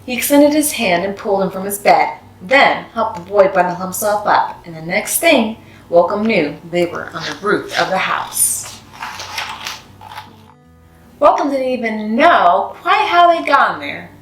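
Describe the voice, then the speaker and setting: A woman's voice: mid-range voice, lively intonation, a little slow. A woman narrating a children's story expressively, as a read-aloud host. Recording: roomy echo, music behind.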